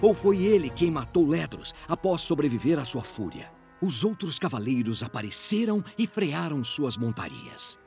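A man's voice: a little high, very animated, normal speed, music in the background. A man with a Brazilian accent delivering solemn, theatrical scripted story narration.

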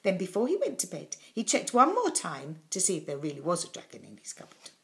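An older woman reading a children's story expressively and dramatically, with deliberate pauses, in a small room at home. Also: on the low side, wildly swooping pitch, gravelly.

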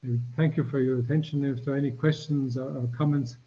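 An older man giving a formal lecture in a curtained embassy room, talking in a measured way with frequent restarts and fillers. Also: mid-range voice, fairly even intonation, mellow, husky, lo-fi sound. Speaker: guest lecturer.

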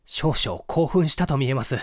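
A man's voice: medium pitch, expressive, moderate pace, no echo, clean studio sound, close to an everyday voice. A man voicing a polite, composed male detective in a mystery story reading. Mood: courteous. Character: composed, courteous male detective narrating his case.

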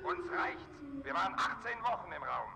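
Irritable gravelly space commander on radio. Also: male voice, very high-pitched, wildly swooping pitch, moderate pace, heavily acted. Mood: impatient. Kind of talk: 1960s German TV science fiction acting. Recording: very noisy vintage TV radio-call sound.

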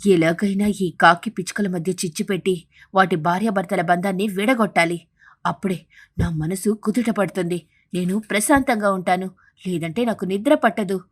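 A woman voicing a jealous, scheming parrot in an animated bird fable, sly and wheedling in manner. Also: medium pitch, normal speed, lightly acted.

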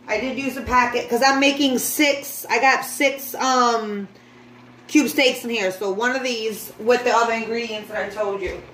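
High-pitched woman chatting in an echoey kitchen. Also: steady tone, moderate pace, a bit bright. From the Bronx, New York, USA. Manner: informal, addressing viewers as guys, unscripted. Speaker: home cook vlogger. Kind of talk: casual cooking demonstration, chatty. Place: home kitchen, indoors. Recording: echoey room, clear background noise.

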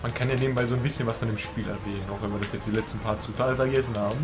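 A man's voice: medium pitch, fairly even intonation, racing. A man co-commentating a racing game, laughing often and teasing his partner, with game music underneath. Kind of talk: casual gaming commentary.

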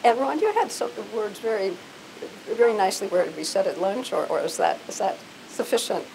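An older American woman giving an informal, effusive talk to an audience on a handheld microphone, emphatic and sometimes halting. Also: medium pitch, expressive, gravelly, a little mellow, slight background noise, low-quality recording. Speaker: event presenter. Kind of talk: informal live public talk. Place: hotel conference room before a seated audience.

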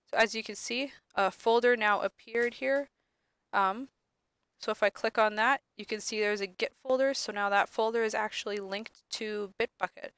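Young woman, lab instructor, sniffly, smooth clear voice, dry close sound, casual tutorial with ums. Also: a little high, steady tone, normal speed, fairly bright tone, dry recording. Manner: casual, many ums and self-corrections. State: sniffly from allergies. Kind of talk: screen-recorded software tutorial.

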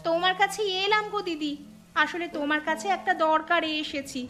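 A desperate, worried village wife, as a woman voices her begs a neighbour for help in a small cartoon story. The voice sits high and pleading, with music playing behind. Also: a little fast, sharp tone. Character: village fisherman's wife Kamala, poor and worried. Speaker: voice actor. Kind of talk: cartoon dubbing.

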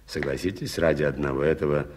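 An actor playing a talkative, deferential journalist in an early 1980s Soviet radio play. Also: male voice, extremely low pitch, steady tone, fast, darkish tone, a little hiss or hum. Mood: persuasive, hushed.

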